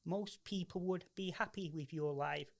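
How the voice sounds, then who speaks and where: A woman plays a hard-nosed ex-military woman in a radio drama. Her voice sits fairly low. She talks in a blunt, mocking way and brushes off whatever she is told. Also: moderate pace, gravelly. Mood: scornful. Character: hard, scornful ex-military relative, pushing property business. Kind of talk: radio play acting.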